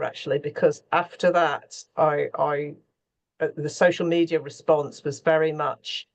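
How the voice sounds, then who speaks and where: A woman speaking as a scriptwriter on a panel, candid and thoughtful, often pausing mid-sentence as she reflects. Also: low-pitched. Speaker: scriptwriter for radio and theatre.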